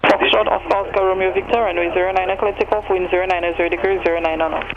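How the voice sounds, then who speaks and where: A man working as an air traffic controller, giving clipped clearances over an aircraft radio. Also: sits high, level tone, quick pace, muffled recording, music in the background. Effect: aircraft radio transmission. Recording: noisy aviation radio with vehicle hum.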